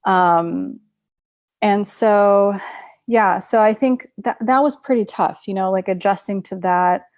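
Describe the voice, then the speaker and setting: A woman's voice: mid-range voice, takes it slowly, darkish tone, dry recording, studio quality. A woman in her thirties, raised in Russia, talking chattily with many fillers and restarts as a webinar guest over a video call. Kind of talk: webinar conversation.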